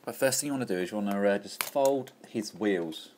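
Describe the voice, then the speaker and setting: Man, lower voice, upbeat hobbyist reviewer talking through a toy. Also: fairly quick, darkish tone, slight background noise. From England. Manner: enthusiastic, chatty, with frequent fillers and restarts. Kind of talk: casual toy review walkthrough.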